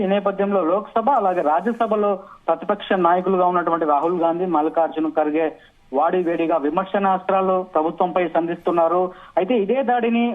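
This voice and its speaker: A man reporting live as a news correspondent, halting and restarting his words, over a tinny phone line. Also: high-pitched.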